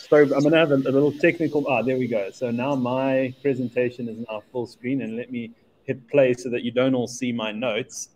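A man originally from Zimbabwe presents over a video call in a relaxed, chatty way. He jokes about himself and fills his pauses with ums and uhs. His pitch sits in the middle range. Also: low-quality recording. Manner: chatty and self-deprecating, many fillers. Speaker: webinar presenter, Spotify team lead.

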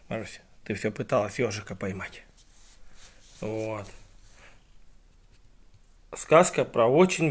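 A man casually telling a fairy tale to his pets, speaking near a phone in a room at home. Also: medium pitch. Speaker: pet owner telling a story. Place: small bedroom indoors. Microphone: handheld phone at close range.

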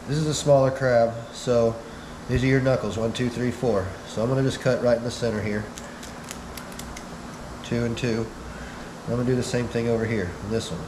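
Man, 30s, casual how-to host, filler-heavy, roomy echo, constant background hum. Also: medium pitch. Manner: easygoing, many "uh" fillers, step-by-step. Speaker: fishing channel host. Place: small indoor bait room, hard walls. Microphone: wide camera several feet away.

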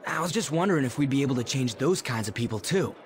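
A man playing a brash, hot-headed teenage delinquent with rough, slangy, blunt speech in a video game. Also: mid-range voice, lively intonation, fast talker, a little hiss or hum, close to an everyday voice. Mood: earnest, thoughtful. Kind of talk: English video game voice acting. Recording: music behind the voice.

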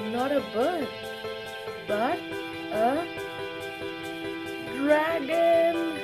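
A woman reading a picture book aloud to children, expressive and playful, drawing out key words for emphasis. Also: sits high. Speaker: children's storyteller.

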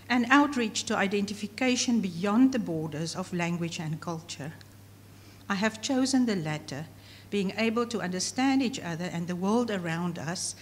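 Afrikaans-speaking woman lecturing in an echoing hall. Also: mid-range voice. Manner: measured, read from a prepared text at a lectern. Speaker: professor giving inaugural lecture. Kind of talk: formal academic lecture. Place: large hall, at a podium. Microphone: podium microphone. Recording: long hall reverb, faint room noise.

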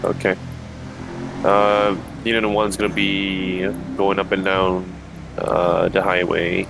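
A man making procedural radio call-outs as a roleplay unit leader, steady and businesslike. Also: deep voice, takes it slowly, vocal fry, over music.

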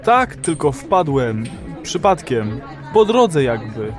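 A man's voice: mid-range voice, very animated, slow pace, music in the background, a crowd in the background. An actor playing a timid, embarrassed married man in an audio drama, speaking hesitantly and evasively. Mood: sheepish.

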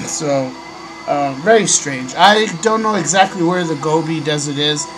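A young man, hoarse from a cold, hosting a casual webcam vlog from a small bedroom into a desk microphone, pausing often with ums and clearing his throat. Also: on the high side, music in the background. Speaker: host. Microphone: desk microphone on a stand. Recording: noisy home recording.

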